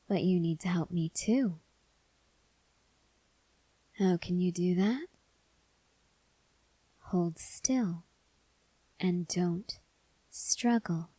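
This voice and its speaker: A teasing, dangerous female vampire, acted by a woman for an ASMR roleplay. Her voice is dark and breathy, often falling to a whisper. She speaks very slowly and intimately, close to the mic, coaxing with quiet menace. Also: fairly low pitch, dry recording, poor audio. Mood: coaxing, predatory. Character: soft-spoken, teasing, menacing female vampire huntress with an axe.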